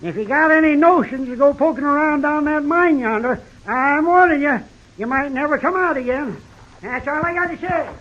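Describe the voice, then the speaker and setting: An actor playing a loony, territorial old prospector with a cackling voice and a rustic Western American accent in an old-time radio western. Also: male voice, moderate pace, a little hiss or hum. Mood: suspicious, threatening. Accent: rustic American West. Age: older. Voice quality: cackling, high old-timer voice. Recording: dull lo-fi vintage radio recording.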